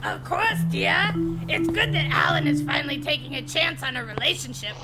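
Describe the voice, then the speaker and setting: Nosy, doting older mother, audio drama, very high voice, big lively swings, theatrical sweetness. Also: normal speed. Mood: gushing. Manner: gushing, theatrical endearments. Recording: loud music under the voice.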